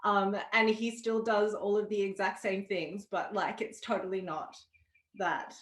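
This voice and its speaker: A chatty woman with a mid-pitched voice talks in a loose, joking way. Her voice rings with room echo over a video call. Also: fairly even intonation, measured pace. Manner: chatty, peppers talk with "like". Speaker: costumed participant. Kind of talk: casual, joking chat.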